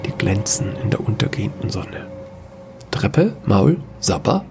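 A man narrating a sci-fi fairy-tale audio story in a measured storytelling manner. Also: lowish voice, sing-song, vocal fry, in a whisper.

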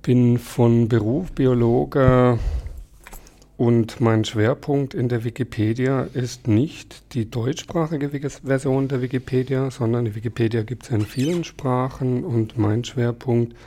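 A man in his forties whose first language is Alemannic, a podcast guest explaining things calmly with frequent pauses and fillers. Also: mid-range voice. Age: 40s. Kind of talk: conversational interview.

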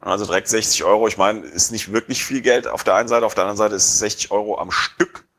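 A man in his forties chatting casually on a podcast, rambling and pausing often with fillers. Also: on the low side, steady tone, a bit bright. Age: 40s. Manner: rambling, hesitant with many fillers.

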